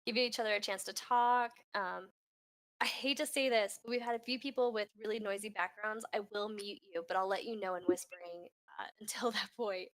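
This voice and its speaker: A woman's voice: mid-range voice, steady tone, fairly quick. A woman hosting a community voice chat, upbeat and chatty, giving casual closing remarks and laughing often. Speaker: host of a community voice-chat AMA.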